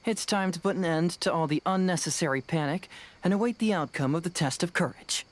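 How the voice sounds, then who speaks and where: A man's voice: on the high side, a little fast, lightly acted. A man playing a confident, analytical young male detective in a video game, speaking articulately. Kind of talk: video game dialogue acting. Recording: game music behind the voice. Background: background music.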